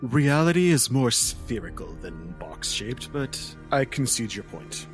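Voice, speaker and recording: A man voicing a mysterious, all-knowing talking cat who hosts the party in its village, speaking in a calm, courteous and cryptic way. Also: medium pitch, measured pace, soft background music. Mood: dry.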